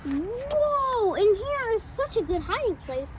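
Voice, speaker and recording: A child's very high, swooping voice narrates improvised doll play as host of the video. The recording is very noisy, with clicks and rustling from an outdoor yard. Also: big pitch swings, slow pace. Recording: loud noise with clicks and rustling.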